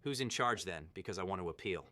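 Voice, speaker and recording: A man's voice: sits low, expressive, quick pace, sharp tone, no echo. A man playing a self-assured, insistent lawyer in an audio horror drama. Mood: cocky, defensive. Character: cocky, indignant male defense lawyer arguing his case.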